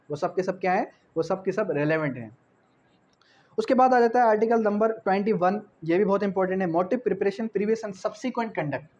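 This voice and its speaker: A Pakistani man with a high-pitched voice delivers an exam-prep lecture. He explains didactically, repeating key phrases and answering his own questions, with English terms mixed in. The recording sounds dull and lo-fi. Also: a little mellow. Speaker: law tutor.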